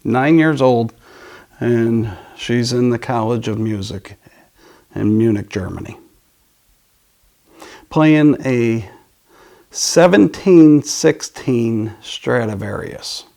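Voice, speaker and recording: Gravelly, slow-talking man, casual and enthusiastic. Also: medium pitch, darkish tone. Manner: enthusiastic, halting, trailing off mid-thought. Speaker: audio dealer and show host. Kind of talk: casual product and music talk.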